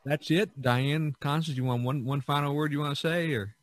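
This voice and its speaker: A middle-aged or older man hosting a casual podcast, talking in a halting, rambling way with many pauses. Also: fairly high pitch, steady tone, no echo. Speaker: podcast host.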